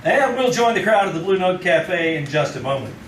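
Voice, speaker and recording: A man delivers an old-time radio announcement with a very lively, fairly high voice. Music plays behind him, and he sounds echoey and distant. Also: a little fast. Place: live theater stage.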